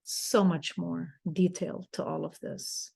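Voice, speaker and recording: Slow-talking woman telling a story. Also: fairly low pitch, little pitch movement, creaky, husky. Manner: rambling, restarts, soft laughs. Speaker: co-host and speaker. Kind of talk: storytelling in casual chat.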